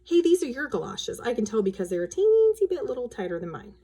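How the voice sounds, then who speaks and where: Woman as storybook big sister, a little girl who is bossy and quick to tattle. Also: fairly high pitch, wildly swooping pitch, warm tone, breathy edge, pitched above the performer's own voice, full character voice. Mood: self-conscious. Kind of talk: children's storybook read-aloud.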